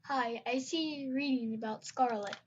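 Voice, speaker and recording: A woman's voice: sits high, normal speed, a little hiss or hum, pitched above the performer's own voice, natural voice. Soar, an earnest young dragon, as a woman puts him on. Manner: polite and a bit awkward. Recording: dull lo-fi recording.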